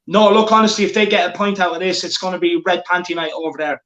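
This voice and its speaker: A man's voice: sits high, fast talker, fairly bright tone, roomy sound, dull audio. A man hosting a football watchalong, chatting casually and reading out viewer comments. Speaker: watchalong host.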